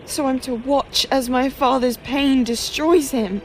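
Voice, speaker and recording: A woman's voice: high voice, fairly even intonation, unhurried, over music, rough recording. An actress playing an earnest, determined young witch in a video game cutscene. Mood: pained, pleading. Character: earnest, determined young witch, troubled yet self-possessed.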